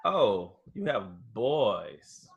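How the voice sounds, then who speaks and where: A man's voice: mid-range voice, sing-song, extremely slow, creaky, dull audio. A man co-hosting a podcast, teasing and joking in casual chat. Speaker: podcast co-host.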